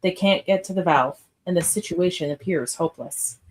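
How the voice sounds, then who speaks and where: A woman's voice: lowish voice, moderate pace. A woman reading stage directions as narrator for a live radio play script read.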